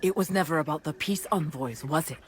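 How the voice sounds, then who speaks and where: An actress plays an imperious noble lady of a samurai clan in a game scene. Her voice sits on the low side, and she speaks formally and with stern command over a faint bed of ambient noise. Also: a little fast, lightly acted. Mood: cool, threatening. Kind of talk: video game cutscene acting.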